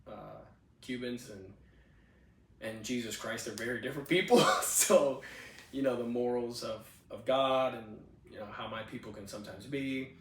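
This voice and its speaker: A man's voice: mid-range voice, moderate pace, fairly bright tone, roomy sound, far from the mic, a little hiss or hum. A man in his twenties, born in Cuba, chatting casually on a home podcast with a handheld mic in a spare bedroom. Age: 20s. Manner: laid-back, chatty, frequent "you know what I'm saying". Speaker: podcast host. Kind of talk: casual personal storytelling.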